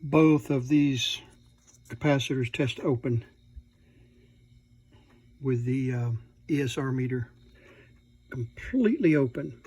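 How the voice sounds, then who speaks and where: A man at an indoor workbench gives an unscripted, hands-on repair demonstration, thinking aloud in a halting way as he works. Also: mid-range voice, darkish tone, slightly rough, no room sound. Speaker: electronics repair hobbyist presenting.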